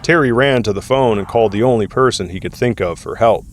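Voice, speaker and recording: Low-voiced man narrating an audio drama. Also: fast, a little hiss or hum. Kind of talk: scripted dramatic story narration.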